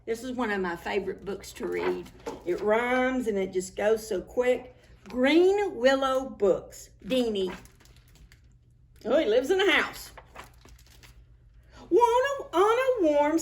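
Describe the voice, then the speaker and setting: A woman with a high, very lively voice hosts story time for small children. She reads picture books aloud at an unhurried pace, sing-song and expressive, talking straight to her young listeners. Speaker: children's librarian hosting preschool story time.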